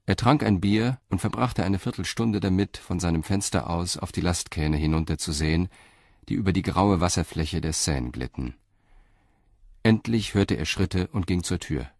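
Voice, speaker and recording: Deep-voiced male crime narrator. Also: normal speed, no room sound, clean studio sound. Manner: calm, even storytelling. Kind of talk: scripted narration of a radio crime play.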